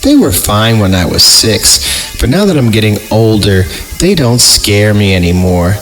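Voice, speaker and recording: A man's voice: fairly low pitch, big pitch swings, moderate pace, a bit bright, soft background music. A man voicing a skeptical, teasing young son in a horror storybook reading. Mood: unimpressed.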